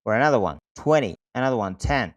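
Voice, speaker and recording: A man's voice: medium pitch, animated, fast. A man presenting an instructional screen-share tutorial, explaining step by step and addressing the viewer directly. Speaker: online marketing tutorial presenter.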